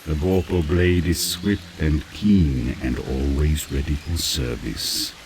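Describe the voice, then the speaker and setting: A man playing a sly, sardonic talking cat in a video game, speaking in mocking, theatrical riddles with a low purr. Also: steady tone, takes it slowly, background noise. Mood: sly menace. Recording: loud music under the voice.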